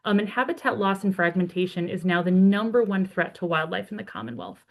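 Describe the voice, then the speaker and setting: Woman, conservation advocate, webinar talk over a video call; slightly hoarse from a cold, friendly, lots of fillers. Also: lowish voice, animated, brisk. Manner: friendly, talks freely with many ums and uhs. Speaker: conservation advocate presenting a report. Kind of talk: webinar presentation.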